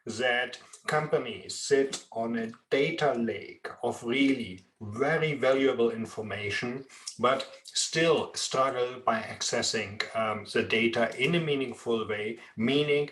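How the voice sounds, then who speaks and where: A man with a strong German accent, explaining at a measured pace in an expert interview, with frequent ums. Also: mid-range voice, fairly bright tone, a little hiss or hum. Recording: roomy echo.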